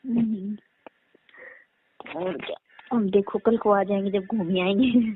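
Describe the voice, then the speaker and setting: A woman's voice: medium pitch, steady tone, slow pace. A young woman chats casually on a phone call, often checking for agreement. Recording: narrowband phone line, faint noise.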